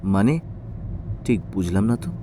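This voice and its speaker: Slow, hesitant doctor, mellow voice. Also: male voice, low-pitched, lively intonation, slight background noise. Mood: earnest, puzzled. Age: 40s. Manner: hesitant, halting, deferential. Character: polite, hesitant Bengali doctor in his forties. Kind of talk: audio story acting.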